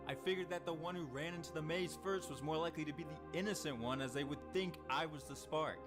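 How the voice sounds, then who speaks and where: A man's voice: a little high, fast. Assertive man making his case over music. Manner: assertive, reasoning step by step. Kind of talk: persuasive social-deduction game talk. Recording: loud music behind the voice. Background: loud music.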